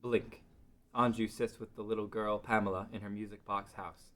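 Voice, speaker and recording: A man's voice: fairly low pitch, level tone, moderate pace, fairly bright tone. A man narrating a scripted fantasy story as part of a table read.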